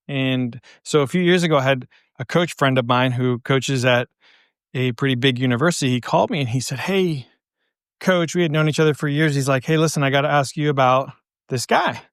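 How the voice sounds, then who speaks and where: A man's voice: medium pitch, clear, resonant tone, no echo, clean studio sound. A man giving casual solo podcast advice as a coach, explaining things conversationally and through anecdotes. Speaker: baseball coach and podcast host.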